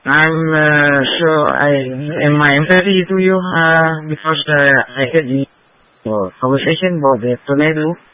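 A man's voice: fairly high pitch, no room sound. Polite Malaysian man over a tinny radio. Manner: hesitant, many fillers, polite. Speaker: ham radio caller.

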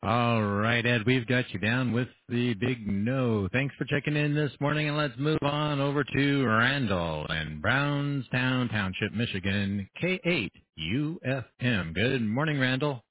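A man hosting an amateur radio net, calling each station in turn and thanking them in a brisk, friendly way. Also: mid-range voice. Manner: brisk, friendly roll-call delivery. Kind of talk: radio net check-in roll call. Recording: phone-like radio audio with faint hiss.

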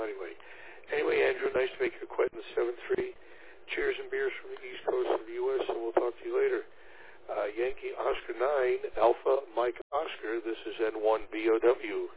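A man from the United States, slightly hoarse, chatting casually as an amateur radio operator with relaxed filler pauses. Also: medium pitch. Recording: tinny radio transmission with static.

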